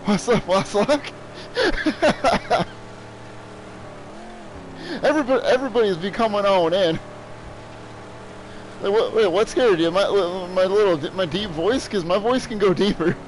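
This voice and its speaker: A man's voice: high voice, a little slow, long pauses, slight background noise, rough recording. A young man playing a video game on stream, chatting crudely and joking with viewers, laughing often. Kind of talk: casual gameplay commentary.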